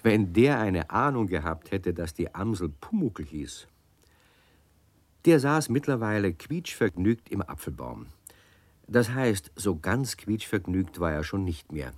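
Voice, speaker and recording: A man's voice: sits low, very animated, unhurried, darkish tone, dry recording. A man narrating a children's radio play as a cozy storyteller with playful emphasis.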